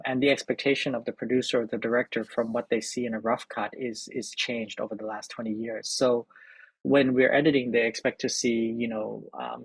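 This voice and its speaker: A middle-aged man from Thailand, a film editor and director, answering interview questions in a halting way with many repeated words and fillers. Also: mid-range voice, slightly rough, dry recording, low-quality recording.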